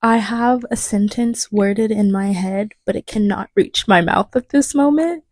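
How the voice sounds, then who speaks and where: A young woman chatting casually as a podcast co-host, her voice at an ordinary pitch for a woman. She gropes for words and lets her sentences trail off, with a lingering cough she keeps holding in. The sound is dry and close. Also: a little slow, a little mellow, dry recording. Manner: hesitant, searching for words, trailing off. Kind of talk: casual chat.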